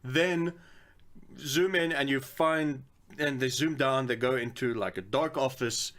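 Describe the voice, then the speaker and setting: A man's voice: mid-range voice, unhurried, a bit bright. A man co-hosting a podcast, talking casually in a blunt, opinionated and sweary way. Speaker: podcast co-host. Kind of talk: casual chat about films and games.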